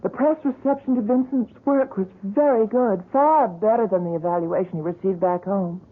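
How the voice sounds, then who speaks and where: A woman's voice: medium pitch, moderate pace, close to an everyday voice. An actress playing a devoted young woman who works at a gallery, in a 1970s radio drama, speaking fondly and reassuringly and sometimes narrating past events. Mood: reflective. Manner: fond, fluttery, reassuring. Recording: narrow lo-fi radio broadcast.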